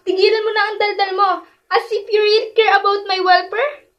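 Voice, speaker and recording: A woman's voice: very high voice, flat delivery, normal speed, a bit bright, dull audio. A woman playing a defiant, resentful teenage daughter in an amateur radio drama. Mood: angry, bitter.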